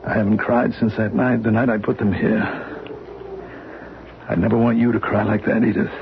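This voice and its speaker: An actor playing a gentle grieving husband who speaks in a quiet, halting way, in a 1970s radio drama on a dull, muffled old recording. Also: male voice, on the low side, little pitch movement, gravelly, a little hiss or hum.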